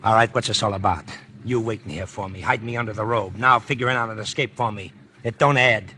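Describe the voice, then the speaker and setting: An actor playing a tough, suspicious escaped killer with curt, threatening gangster slang in a 1940s radio drama. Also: male voice, lowish voice, fast talker, bright, forceful tone. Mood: wary. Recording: noisy old 1940s radio recording.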